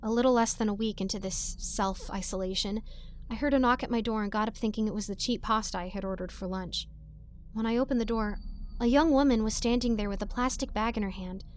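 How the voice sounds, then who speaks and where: A woman's voice: medium pitch, fairly quick. A woman reading a scripted first-person horror story as its narrator, in a dramatic style.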